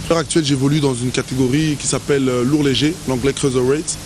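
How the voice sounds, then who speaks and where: A man's voice: medium pitch, fairly even intonation, fast talker. Hesitant young male boxer, noisy recording. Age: late 20s. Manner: hesitant, restarting sentences. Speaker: boxer, interviewee. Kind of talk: field interview.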